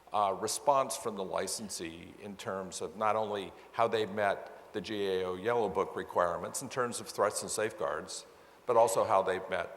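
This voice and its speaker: A man presenting and moderating a conference session in a measured, explanatory way. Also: fairly low pitch, a bit bright. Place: large indoor conference hall. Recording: echoey conference hall sound system.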